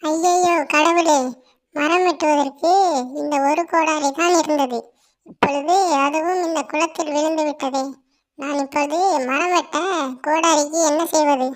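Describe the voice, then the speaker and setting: A woman's voice: high-pitched, steady tone, unhurried. A woman voicing an honest little cartoon sparrow. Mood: wailing. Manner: wailing, pleading. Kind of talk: storybook cartoon voice.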